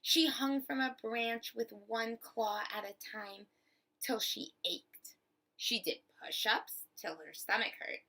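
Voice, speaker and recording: A woman reads a children's storybook aloud at a slow, deliberate pace. Her voice is bright with a creaky edge, and she phrases expressively for young listeners, like a teacher. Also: a little high, steady tone, poor audio. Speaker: teacher reading a picture book aloud.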